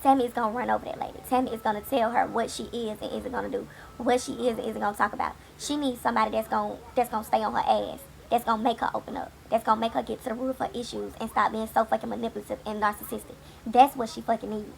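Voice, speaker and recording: Woman, raspy gravelly voice, a bit high, blunt and sassy, casual show recap, faint hiss behind. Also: steady tone, fast talker. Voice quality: raspy from a cold. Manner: blunt, sassy, swears freely. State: hoarse, getting over a cold. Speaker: TV recap host. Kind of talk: casual episode review. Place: home, late at night.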